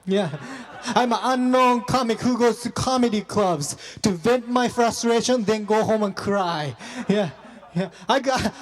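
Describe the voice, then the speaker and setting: A young man performing stand-up, self-deprecating and halting, full of fillers and restarts. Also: high-pitched, big-room sound. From Japan. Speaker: stand-up comedian. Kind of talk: stand-up comedy set.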